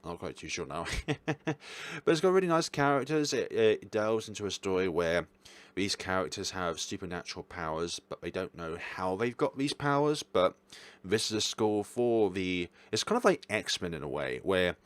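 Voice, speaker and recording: Low-voiced man rambling through casual reviews. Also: sing-song, normal speed, no echo. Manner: rambling, self-correcting, apologetic asides. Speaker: visual novel reviewer hosting own channel. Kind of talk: casual unscripted review chat to camera.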